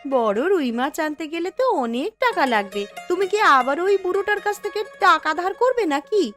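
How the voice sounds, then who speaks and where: A woman playing a lively, outspoken young village woman in a cartoon folk tale, expressive and quick to question or scold. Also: very high-pitched, faint music behind. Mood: curious.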